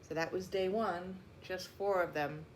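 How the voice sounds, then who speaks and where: A woman reading a picture book aloud like a teacher, with deliberate, emphatic phrasing and explanatory asides, in a roomy recording. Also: lowish voice, steady tone. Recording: roomy echo, faint background noise.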